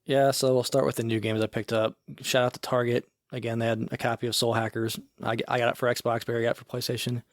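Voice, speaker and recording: A man co-hosting a casual podcast, talking in a relaxed, rambling way with some swearing. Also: mid-range voice, fast, few pauses, no room sound, studio quality. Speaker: podcast co-host. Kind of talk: casual chat.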